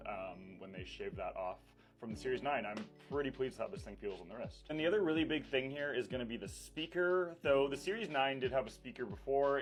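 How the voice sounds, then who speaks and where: A man giving a casual tech review in an informal, conversational, enthusiastic way, as a vlogger would. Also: mid-range voice. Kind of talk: casual product review vlog. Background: background music.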